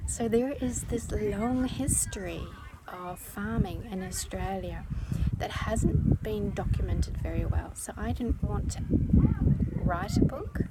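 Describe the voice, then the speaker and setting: A woman's voice: mid-range voice, animated, takes it slowly. A middle-aged woman from New Zealand with a New Zealand accent, a children's author chatting casually and self-deprecatingly as she answers questions outdoors. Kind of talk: casual outdoor video talk. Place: outdoors on a windy coast. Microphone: phone or camera held by hand. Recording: windy outdoor phone recording. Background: wind noise.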